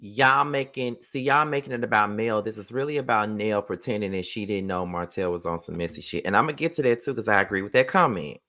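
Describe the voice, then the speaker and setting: A man's voice: fairly low pitch, a little fast, hardly any pauses, sharp tone. A man in his thirties giving blunt, profane reaction commentary, sounding exasperated. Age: 30s. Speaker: commentary host.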